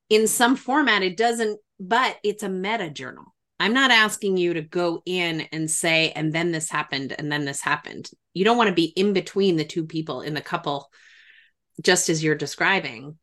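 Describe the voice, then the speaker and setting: A woman's voice: on the low side, fairly bright tone. A woman hosting an interview, talking in a conversational, explanatory way with engaged enthusiasm. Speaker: interviewer, host trained in trauma and grief work.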